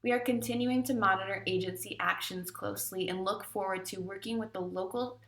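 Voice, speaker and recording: A woman delivering a prepared public statement as a union spokesperson, formal and deliberate, now and then stumbling over a word. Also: medium pitch, fairly even intonation, a bit bright. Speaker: industrial hygienist and nurses' union spokesperson. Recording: echoey room sound.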